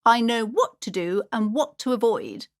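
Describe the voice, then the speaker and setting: A woman's voice: fairly high pitch, expressive, moderate pace, close to an everyday voice. An actress playing a fussy, superstitious middle-aged woman who runs a bookshop in a video game, prim and haughty, with dramatic emphasis. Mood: prim, defensive. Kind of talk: theatrical video game character acting.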